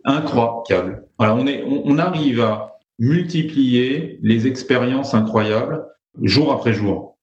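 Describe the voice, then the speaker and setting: A man with a French accent telling flying stories in conversation, pausing often with fillers and restarts. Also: mid-range voice. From France. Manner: hesitant, many fillers and restarts. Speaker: private pilot guest. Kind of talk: conversational storytelling. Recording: roomy, dull lo-fi sound.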